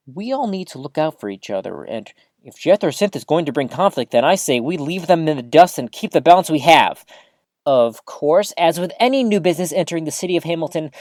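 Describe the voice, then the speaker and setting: A performer plays an eager, easily flustered small-town radio host in an audio drama. The androgynous voice sits at a middle pitch and swings expressively through each sentence. The delivery is folksy and earnest, addressed straight to the townsfolk, in a dry recording. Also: no room sound, close to an everyday voice. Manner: folksy, chatty, expressive, addresses listeners directly. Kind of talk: scripted audio drama as local radio broadcast.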